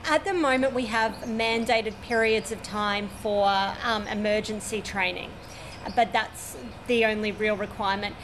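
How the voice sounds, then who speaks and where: A woman's voice: medium pitch, fairly even intonation, measured pace. A woman in her forties with an Australian accent, an air traffic controller giving detailed, matter-of-fact interview answers at a regional airport. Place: at a regional airport, overlooking the runway.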